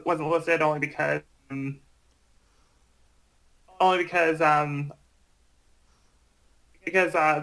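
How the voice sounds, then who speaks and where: A man explaining things in casual chat, haltingly, often repeating and correcting himself. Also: fairly high pitch, gravelly, bright, forceful tone, low-quality recording.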